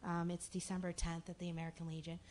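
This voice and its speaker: Raspy, somewhat low woman, city official; casual, filler-heavy public remarks over faint room hiss. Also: monotone, fast talker, a little mellow. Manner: chatty, full of fillers and restarts. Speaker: city official at commission meeting. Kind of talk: public address at a meeting. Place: city commission meeting room.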